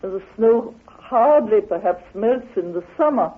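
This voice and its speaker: A woman recounting an expedition in an emphatic, halting way, as a spoken anecdote. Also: medium pitch, animated, slightly rough. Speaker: explorer and theorist recounting her expedition. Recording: tinny band-limited old radio recording.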